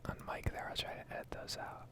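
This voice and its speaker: A woman's voice: fast, a bit bright. A young American woman in her twenties giving a rambling, casually sweary ASMR gameplay commentary close to the microphone. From United States. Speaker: gaming video creator.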